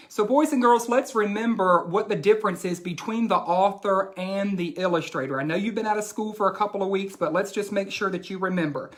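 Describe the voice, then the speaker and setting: A woman teacher giving a children's read-aloud, explaining things deliberately and instructively and addressing the children directly. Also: mid-range voice.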